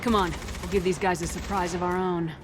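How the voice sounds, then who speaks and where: A woman's voice: fairly low pitch, fairly even intonation, a little fast, close to an everyday voice. A woman playing a tough, confident, no-nonsense commander in a video game cutscene, speaking directly. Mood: determined. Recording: reverberant, faint noise.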